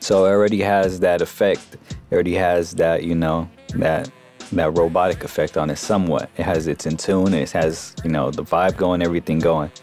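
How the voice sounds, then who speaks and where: A man giving a casual, informal software tutorial as a voice-over on a close mic. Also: sits low, fairly even intonation, moderate pace, faint music behind. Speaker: music production tutorial host.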